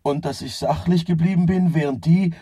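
A man's voice: on the high side, normal speed, mellow, breathy edge, no room sound. An actor playing a stubborn, weary middle-aged working-class father in a radio drama, with a dry, grumbling delivery. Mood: dogged. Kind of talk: naturalistic radio drama acting.

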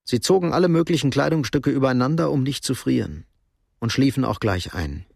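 A man playing the sober narrator of a crime radio drama, telling the story in a measured, matter-of-fact way. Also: medium pitch, animated, creaky, darkish tone, dry recording, clean studio sound.

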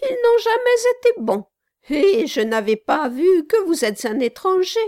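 A woman reading aloud, voicing a gruff, trembling old male gravedigger. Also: extremely high pitch, big pitch swings, a little slow, pitched above the performer's own voice, full character voice. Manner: gruff then gentle, trembling. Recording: dry close audiobook recording.

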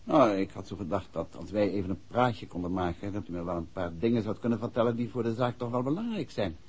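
An actor playing a courteous, persuasive private investigator in a 1960s radio drama. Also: male voice, lowish voice, animated, quick pace, darkish tone, slightly rough. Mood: polite, coaxing. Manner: polite, coaxing. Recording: muffled old 1960s radio recording.

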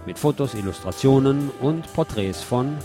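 A man hosting a radio show, presenting commentary and reading news aloud in a deliberate way with pauses. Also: medium pitch, expressive, over loud music.

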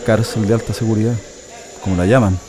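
A man playing a Chilean political prisoner in a radio drama, guarded and halting in his speech. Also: lowish voice, steady tone, crowd noise, close to an everyday voice. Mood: somber, recollecting. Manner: halting, stammering.

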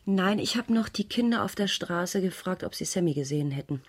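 An actress in a German radio crime play playing an uneasy married schoolteacher who gives a hesitant, detailed account to police. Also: female voice, fairly low pitch, expressive, fairly quick. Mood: uneasy, recounting. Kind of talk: 1980s German radio drama dialogue.

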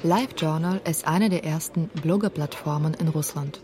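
A woman's voice: low-pitched, moderate pace, a crowd in the background. A woman narrating a scripted radio documentary feature.

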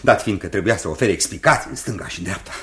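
An actor playing a grave, anguished uncle and formal music master in a radio drama, speaking in a measured, theatrical way. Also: male voice, low-pitched, fairly bright tone, slight background noise. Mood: pensive, pained. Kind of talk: Romanian radio theatre acting.

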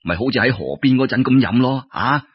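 A man voicing a kindly, sentimental old fisherman who speaks in slow, sighing, drawn-out phrases. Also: medium pitch, animated, husky, dry recording. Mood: earnest, devoted. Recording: dull lo-fi radio-style recording.

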